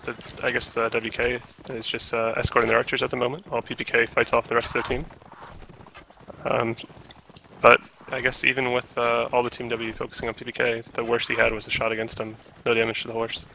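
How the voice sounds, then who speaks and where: A man giving play-by-play commentary on a live online game match, relaxed and hesitant, with many fillers. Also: medium pitch, brisk, creaky, a little hiss or hum, low-quality recording. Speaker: esports commentator.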